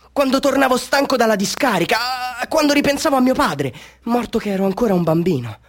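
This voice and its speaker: An actor playing an excitable, self-doubting amateur photographer telling his story in a theatrical radio monologue, moving between confiding and fervent delivery. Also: high-pitched.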